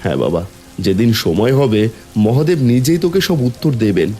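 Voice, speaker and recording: A man's voice: medium pitch, expressive, moderate pace, warm tone, faint music behind, lightly acted. Man acting devoted, emotional uncle, swooping voice. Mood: tender. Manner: emotional, vowing, protective. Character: anxious, devoted young uncle guarding an orphaned child.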